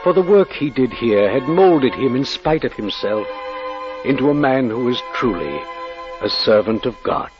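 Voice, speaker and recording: Male actor as solemn father eulogizing, measured oratory, 1940s radio drama, muffled recording, prominent music behind. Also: medium pitch, animated, close to an everyday voice. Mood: grave, earnest. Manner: measured, grave, preacherly oratory. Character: solemn, forgiving father delivering a funeral eulogy.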